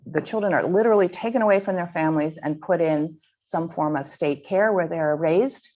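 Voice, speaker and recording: Woman guest speaker, slightly lower voice, earnest, fumbles and restarts while walking through slides. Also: mellow. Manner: earnest, halting with frequent ums and restarts. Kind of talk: online slide presentation to a school audience.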